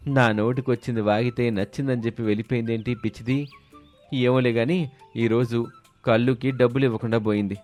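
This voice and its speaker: A man playing a sly, smooth-talking village drinker in an animated moral story. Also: mid-range voice, close to an everyday voice. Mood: scheming. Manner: wheedling, scheming, reassuring.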